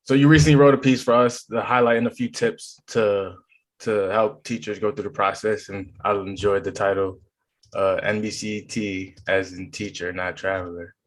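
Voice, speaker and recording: A man with a fairly low voice hosts an interview podcast. His questions are friendly but loose, and he often rephrases and corrects himself as he goes. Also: fairly even intonation, moderate pace. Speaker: podcast host.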